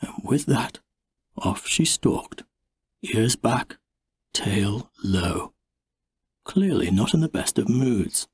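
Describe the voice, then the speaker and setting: A man narrating an audiobook in an expressive, dramatic storybook style. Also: medium pitch, takes it slowly, pauses often, slightly rough.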